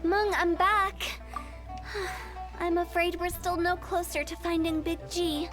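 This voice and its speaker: A mischievous young woman who runs a funeral parlor talks in a breezy, confident way. Her voice is high and light. Faint game music plays beneath her. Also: level tone, slow pace. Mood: calm. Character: playful young female funeral parlor director.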